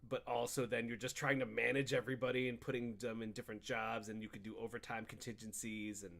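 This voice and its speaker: Male podcast co-host, mid pitch, informal and slangy, loose storytelling that slips into quoted dialogue. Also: little pitch movement, fast talker, creaky, fairly bright tone. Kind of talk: casual chat about video games.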